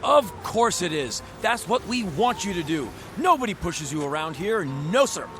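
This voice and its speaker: Radio drama: bombastic male car huckster, high voice, folksy, relentless wheedling. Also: big pitch swings, moderate pace, over music. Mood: breezy, welcoming. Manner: bombastic, wheedling, florid sales patter. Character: pushy, glib used-car salesman, a folksy fast-talking huckster.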